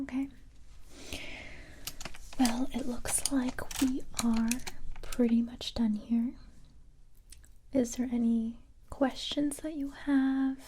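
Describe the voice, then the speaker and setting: Soft whispery asmr woman playing a kind recruiter, slightly high voice, gentle, some noise and clicking behind her. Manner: gentle, hesitant, unhurried reassurance. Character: calm, encouraging female special police recruiter. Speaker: ASMR roleplay performer.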